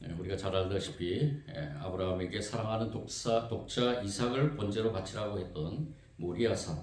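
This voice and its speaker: A man preaching a sermon as pastor, hoarse from a cold, earnest and steady with frequent filler sounds. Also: lowish voice, moderate pace. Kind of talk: sermon with responsive scripture reading. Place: church sanctuary. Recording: echoey church room, faint noise.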